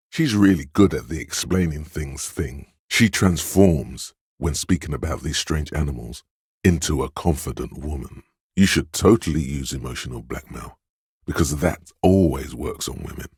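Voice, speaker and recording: A man voicing a suave, insinuating inner voice of persuasion in a video game, narrating in a measured, knowing, gently coaxing way. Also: expressive, no echo, clean studio sound, exaggerated voice. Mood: gently encouraging, then wry, measured. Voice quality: very deep, husky. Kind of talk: scripted video game narration in second person.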